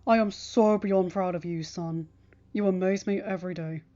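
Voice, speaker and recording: A woman's voice: on the low side, fairly even intonation, moderate pace, dark tone, voice pushed down, close to an everyday voice. A woman voicing a proud, doting father in a story reading, speaking with earnest affection. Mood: proud, tender. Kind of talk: audiobook character voice for fan fiction. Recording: dull lo-fi home recording.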